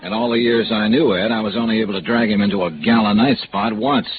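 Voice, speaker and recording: An actor playing a wry, hard-boiled American insurance investigator in 1950s radio noir, dry and slangy. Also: male voice, lowish voice, steady tone, fast, noisy background, lightly acted. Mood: sardonic, troubled. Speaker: lead actor of a detective serial. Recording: narrow tinny vintage radio recording, music behind.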